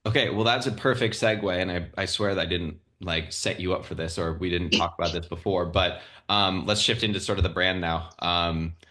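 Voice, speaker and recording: A man's voice: deep voice, steady tone, fairly quick, hardly any pauses, bright, forceful tone. A man hosting a casual interview, easygoing and affirming, asking loosely phrased questions. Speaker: podcast host, interviewer.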